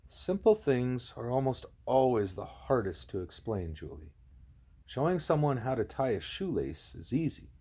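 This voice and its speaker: A man voicing a calm, wise male gorilla teacher from a storybook, speaking in measured, formal, patient phrases. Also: mid-range voice, slightly rough, voice pushed up. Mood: patient, didactic.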